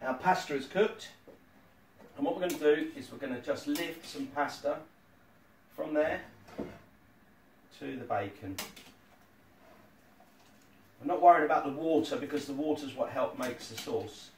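A man's voice: on the high side, measured pace, pauses often, a little hiss or hum. Man explaining a recipe in a kitchen. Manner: plain step-by-step instructions. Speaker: home cook. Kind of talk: cooking demonstration. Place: home kitchen, indoors. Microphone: phone camera across the room. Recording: roomy kitchen sound from a distance. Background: sizzling pan and boiling water.

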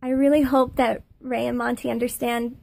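A woman in her thirties with a high-pitched voice, recording a personal video message, earnest and halting with many pauses. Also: level tone, creaky, darkish tone. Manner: earnest, halting, many fillers, laughs between phrases. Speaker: former student giving a thank-you testimonial.

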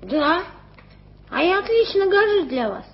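An actress playing a stubborn, streetwise street girl in a radio play, talking bluntly and roughly. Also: very high voice, sing-song, measured pace, heavily acted. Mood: bargaining. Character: street girl Tommy, scrappy stubborn waif. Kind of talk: 1980s studio radio play acting. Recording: dull old radio recording.

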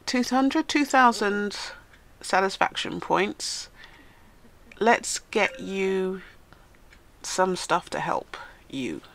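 A woman with a bright, tense voice that has some gravel in it, chatting through a life-sim let's-play. She is stuffed up from a cold, so she sounds nasal, and she talks straight to the characters on screen. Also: medium pitch, expressive, drawn-out words. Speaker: gaming channel host. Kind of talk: casual let's-play commentary.

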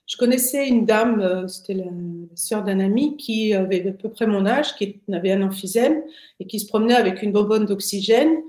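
A woman of sixty-six gives a personal account in conversation, often halting to find her words. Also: medium pitch, darkish tone. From Paris, France. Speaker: interview guest, retiree. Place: indoors, plain room on video call. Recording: roomy, echoing video call.

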